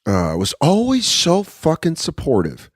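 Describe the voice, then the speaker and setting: A man's voice: a little high, wildly swooping pitch, a little slow, slightly rough, dry recording, studio quality. A man in his thirties, a comedian guest, telling candid, profane stories in casual podcast chat from an apartment. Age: 30s. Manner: candid, profane storytelling with acted-out quotes.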